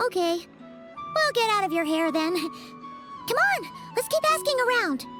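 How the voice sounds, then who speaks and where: A very high-voiced young girl from a cartoon fantasy game. She is chirpy and blunt, with swooping, animated intonation. Music plays behind her. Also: a little slow, heavily acted. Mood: thoughtful. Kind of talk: scripted game dialogue.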